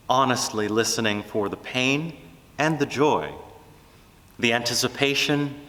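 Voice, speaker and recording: A man preaching a reflective, prayerful sermon, speaking earnestly in measured phrases with deliberate pauses. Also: mid-range voice, fairly bright tone, big-room sound.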